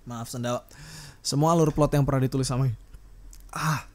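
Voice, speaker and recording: A man's voice: mid-range voice, steady tone, brisk, a little mellow. A man with an Indonesian accent delivering a casual, unscripted podcast rant in a blunt, rambling, slangy way with some mild swearing. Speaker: solo podcast host. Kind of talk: unscripted casual ranting monologue.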